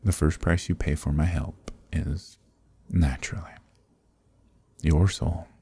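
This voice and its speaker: A man voicing an ancient archdemon who is smug, amused and condescending, in a breathy, murmured dark fantasy ASMR roleplay with teasing, leisurely politeness. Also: extremely low pitch, fairly even intonation, slow, no echo, heavily acted. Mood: smug, calm.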